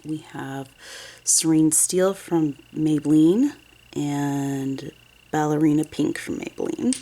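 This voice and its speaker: A woman's voice: low voice, slow pace, dark tone, slight background noise. A woman in her thirties with a sniffly head cold, chatting casually and a bit ramblingly as she walks through a nail art tutorial at her desk. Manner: chatty, rambling, self-correcting. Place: home desk, nail station. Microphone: overhead camera, hands only.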